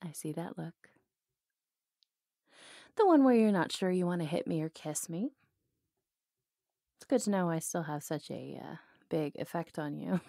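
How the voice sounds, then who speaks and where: A woman's voice: lowish voice, creaky, warm tone, no room sound, studio quality, exaggerated voice. A woman playing a bold, lovelorn outlaw cowgirl in an intimate ASMR roleplay, sardonic and flirtatious, drawing words out.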